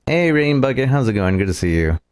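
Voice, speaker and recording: A man streaming a video game and reading its dialogue aloud theatrically, sometimes haltingly. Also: mid-range voice, big pitch swings, darkish tone, slight background noise. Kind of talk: dramatic read-aloud game playthrough.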